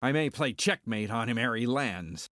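An actor playing a cocky, skeptical smuggler pilot, declaiming theatrically in a Shakespearean dramatic reading. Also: male voice, mid-range voice, expressive, normal speed, fairly bright tone, dry recording, studio quality, natural voice. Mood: wary, bold.

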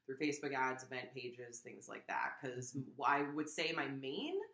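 A woman in her thirties chatting casually as an interview guest, haltingly thinking aloud into a shared tabletop microphone in a small room. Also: rumbling low, fairly bright tone. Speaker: guest, yoga studio owner. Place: small indoor room with blinds. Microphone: shared USB tabletop microphone. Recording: echoey room.

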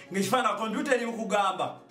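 A young Ugandan man hosting an online show, talking informally and comically to camera in an animated, exclaiming, repetitive way. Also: high-pitched, fast talker. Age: young adult. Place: small indoor studio with padded backdrop. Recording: roomy echo, distant microphone, music behind.

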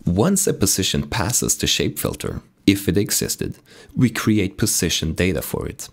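Man, very deep voice, raspy and sick, lively intonation, calm code tutorial narration. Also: moderate pace. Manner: calm explanatory walkthrough. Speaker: game dev tutorial host. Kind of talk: screen-recorded coding tutorial narration.

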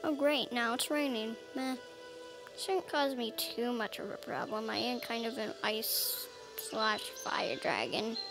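Girly high voice, woman murmuring slowly to herself while gaming, music behind. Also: poor audio. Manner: murmuring to herself with little hums. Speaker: gameplay video player. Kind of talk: casual solo roleplay as a dragon while playing.

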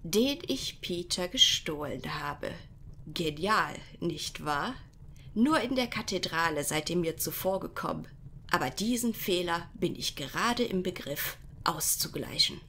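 A scheming villainess of a parody detective radio play, acted by a woman, speaking slowly and with haughty menace. Her voice sits on the low side, over faint background noise. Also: animated, sharp tone, exaggerated voice. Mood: gloating. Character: scheming, gloating villainess holding heroes at gunpoint. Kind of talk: parody detective audio drama acting.

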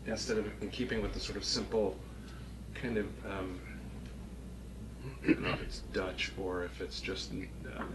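Low-voiced man, halting, noisy room. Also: fairly even intonation. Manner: halting, hedging, thinking aloud. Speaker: architectural review board member. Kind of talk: spontaneous critique at a public meeting. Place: small meeting room, indoors. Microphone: distant room camera. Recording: noisy meeting-room recording.

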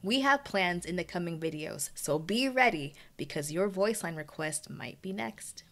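Woman doing scripted youtube host narration, voice a bit on the low side. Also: lively intonation, normal speed, a bit bright. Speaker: channel host. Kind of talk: scripted video intro and outro narration.

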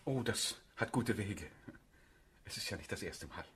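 A man's voice: fairly low pitch, animated, moderate pace, sharp tone, slight background noise. An actor playing an obliging, deferential yet firm middle-aged merchant father in a German radio play. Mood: polite, deferential.

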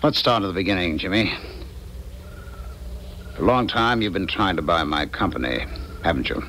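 A man's voice: low voice, animated, moderate pace, pushed and bright. An American actor in a 1970s radio drama playing a paranoid, coldly menacing businessman with pointed, insistent questioning. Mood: cold, probing. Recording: noisy 1970s radio broadcast recording.

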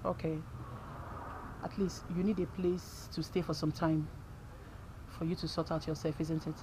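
An actress playing a caring friend who offers the wife a place to stay. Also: female voice, sits low, level tone, moderate pace, lightly acted. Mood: soothing. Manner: indignant, then soothing. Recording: noisy background.

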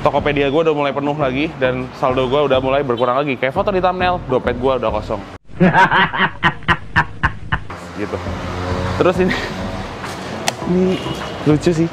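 A man's voice: medium pitch, brisk, long pauses, noisy background. A young man chatting casually as a vlog host, slangy and grumbling in an exasperated way. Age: young adult. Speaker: motovlog host. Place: open concrete garage forecourt by a workshop.

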